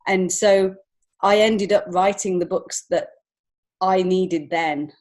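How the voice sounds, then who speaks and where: A woman's voice: medium pitch, steady tone, slow pace, darkish tone. A woman telling personal stories as a podcast guest, speaking in a heartfelt, informal and emotionally open way. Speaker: podcast guest, children's book author and illustrator. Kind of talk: personal storytelling in an interview.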